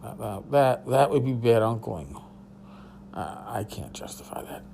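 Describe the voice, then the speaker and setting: A tired man with a hoarse voice, hosting a casual solo podcast from a hotel room and rambling loosely with a bit of swearing. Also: medium pitch, sing-song, a little slow, mellow, slight background noise. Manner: rambling, profane, restarts. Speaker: podcast host.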